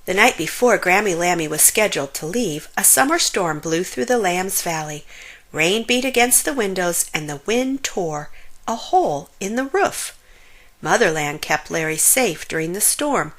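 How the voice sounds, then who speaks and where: A woman reading a children's picture book aloud as an expressive storyteller, stretching words for emphasis. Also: fairly low pitch, moderate pace. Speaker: storybook reader.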